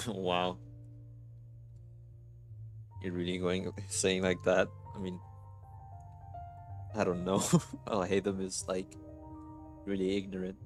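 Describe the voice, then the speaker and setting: Deep-voiced man, casual game streamer. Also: monotone, slow, pauses often. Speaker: live streamer reacting to a game. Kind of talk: casual live reaction commentary. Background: game music.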